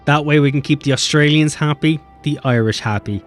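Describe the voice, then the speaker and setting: Animated male game streamer. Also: a little high, soft background music. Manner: animated, swearing, quick banter with chat. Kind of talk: casual live-stream chat, reading chat.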